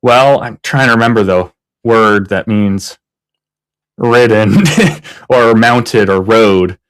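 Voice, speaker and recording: A man's voice: slow pace, smooth. A man co-hosting a live reaction stream, talking casually and acting out his opponents' replies. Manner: animated, mimics opponents in a higher voice.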